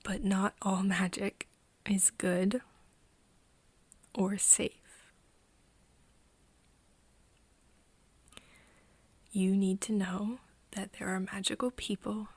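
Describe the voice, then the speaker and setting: A woman playing an earnest young woman confiding in a friend in an ASMR roleplay, speaking softly and haltingly, often in a whisper. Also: medium pitch, steady tone, no room sound, exaggerated voice. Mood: solemn, hushed. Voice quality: soft, breathy, often whispering. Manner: halting, hesitant confiding with pauses. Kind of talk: ASMR roleplay, intimate one-sided conversation.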